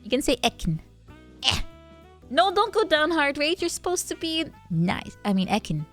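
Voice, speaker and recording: A woman's voice: high-pitched, sing-song, moderate pace, fairly bright tone. A young woman streaming, chatting casually with viewers. She laughs often, thinks aloud with fillers, and is tipsy from wine. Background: faint background music.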